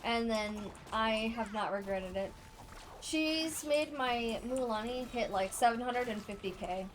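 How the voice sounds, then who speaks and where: Woman streaming a game, kinda high voice, casual chatty commentary, bit of background noise. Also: unhurried, low-quality recording. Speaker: game livestreamer.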